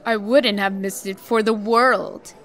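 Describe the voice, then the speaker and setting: A woman's voice: mid-range voice, fairly even intonation, a little slow, fairly bright tone, lightly acted. A woman playing an icy, venomous executive and scornful ex-wife, sarcastic and withering, in a comic dub.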